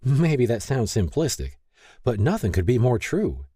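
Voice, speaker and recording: A man voicing a gentle, motherly woman who speaks softly and reassuringly in an audiobook. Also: mid-range voice, sing-song, unhurried, slightly rough, no room sound, studio quality, voice pushed up. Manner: soft, reassuring, affectionate. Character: gentle, motherly older woman, kind host. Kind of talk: audiobook character reading.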